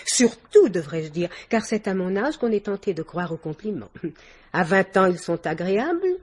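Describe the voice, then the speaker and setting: Husky, effusive older society lady. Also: medium pitch, sing-song, moderate pace, darkish tone. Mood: mannered, charming. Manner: theatrical, effusive, mannered, little laughs. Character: worldly, domineering older society woman, gushing and sly. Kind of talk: vintage French radio drama acting.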